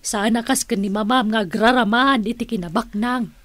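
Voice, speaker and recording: A woman's voice: fairly high pitch, moderate pace, a bit bright. A woman in an Ilocano radio drama playing a wealthy, plain-living older aunt, playful and good-natured, drawing out her words with emphasis. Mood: warm, reminiscing.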